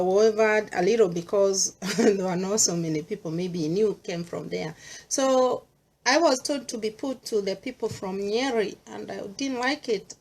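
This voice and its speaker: Earnest Kenyan woman, middle-aged. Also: mid-range voice. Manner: earnest, mixes English with Swahili. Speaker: vlogger sharing personal views. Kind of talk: personal monologue.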